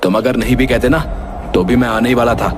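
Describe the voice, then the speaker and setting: A man's voice: medium pitch, fairly even intonation, fast, background noise, natural voice. A man voicing a spoiled, haughty young prince in a cartoon story, with a petulant, demanding manner. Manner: petulant, imperious. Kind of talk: Hindi animated moral story dialogue. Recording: music under the voice.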